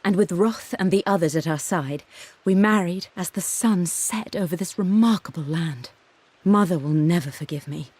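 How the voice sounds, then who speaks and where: A woman's voice: medium pitch, a little mellow, dry recording, close to an everyday voice. A woman playing a passionate, headstrong young British newlywed, narrating her journal articulately in a video game voice-over. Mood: happy, decisive. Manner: articulate, heartfelt, resolute.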